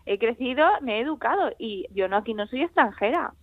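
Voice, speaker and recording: A young woman from Spain, an author and illustrator, talking in a lively way and laughing often as a radio guest on the phone. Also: a little high, a little fast, no room sound. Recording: tinny phone line.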